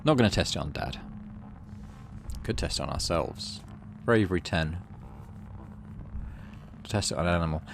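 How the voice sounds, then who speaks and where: A man's voice: low-pitched, animated, normal speed, creaky, long pauses, a little hiss or hum. A man hosting a gaming playthrough, mixing casual commentary with read-aloud story narration. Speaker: gaming channel host. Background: game music.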